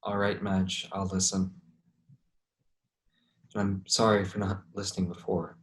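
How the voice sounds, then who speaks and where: A man's voice: sits low, monotone, a little slow. Blunt, protective man played by an actor on stage. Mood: subdued, sorry. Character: Vincent, desperate, protective man cornered with a knife. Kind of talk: staged play reading.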